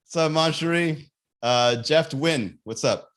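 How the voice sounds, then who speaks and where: A young man hosts a comedy livestream podcast and riffs loosely with plenty of swearing, often breaking into his own laughter. His voice is a touch higher than most men's. It has a bright, forceful edge. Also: slow pace. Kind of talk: riffing stand-up on a livestream podcast.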